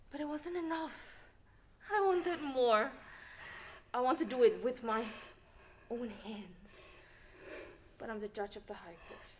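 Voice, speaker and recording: Shrill, deranged killer judge. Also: female voice. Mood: gleeful, confessing. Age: young adult. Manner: gloating, unhinged, swinging from hushed to shouting. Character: deranged, gloating judge turned vengeful murderer. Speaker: student stage actor. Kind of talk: theatrical stage monologue. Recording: faint noise, live stage play.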